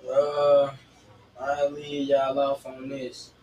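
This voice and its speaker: A man's voice: mid-range voice, completely flat delivery, very slow, darkish tone, highs cut off. A young man co-hosting a casual chat, speaking in an informal, slangy way. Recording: roomy, distant mic, faint noise.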